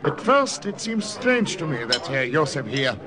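An actor playing a suspicious, falsely jovial German army captain in a radio drama, with a German accent and sly, menacing politeness. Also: male voice, on the high side, very animated, measured pace, crowd noise. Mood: sly, probing. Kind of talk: old-time radio drama acting.

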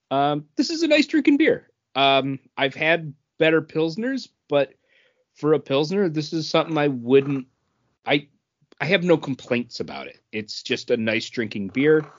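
An American man co-hosting a podcast, giving casual reviews in a halting way with frequent pauses. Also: on the high side, lively intonation, lo-fi sound. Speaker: podcast co-host. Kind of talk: casual chat and beer review.

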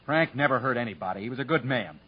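An actor playing a stubborn, proud rural moonshiner and husband with folksy country talk in a 1950s radio drama. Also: male voice, mid-range voice, expressive, fast talker, slightly rough. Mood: defiant. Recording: muffled 1950s radio broadcast recording.

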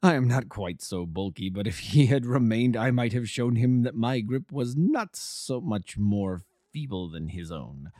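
A man voicing a calm, amused gentleman detective in an audiobook, bland, imperturbable and quietly wry. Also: medium pitch, measured pace, hardly any pauses, no room sound, clean studio sound, natural voice.

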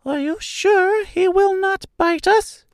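Man putting on a squeaky little mouse queen. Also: slow pace, dry recording, heavily acted. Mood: timid. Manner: imperious, emphatic. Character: tiny proud female field mouse queen, regal and grateful. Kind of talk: theatrical storybook character reading.

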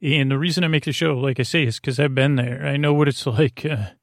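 A man hosting a bedtime podcast in a meandering, hesitant, whimsical monologue, goofy and gently reassuring, often trailing off mid-thought. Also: medium pitch, brisk, creaky, no echo, studio quality. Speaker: podcast host.

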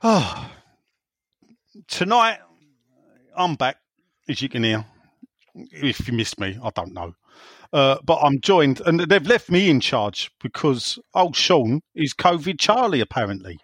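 A man's voice: medium pitch, big pitch swings, a little slow, long pauses, a bit bright, no room sound, studio quality. A man hosting a casual podcast over a call, teasing his co-hosts and talking in stop-start bursts with lots of fillers. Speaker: podcast host standing in. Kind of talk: casual chat, jokey.

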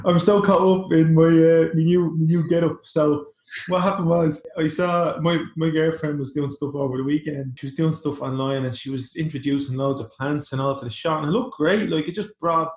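A man's voice: fairly high pitch, darkish tone. Irish man, roomy podcast sound. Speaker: podcast co-host. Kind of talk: casual chat.

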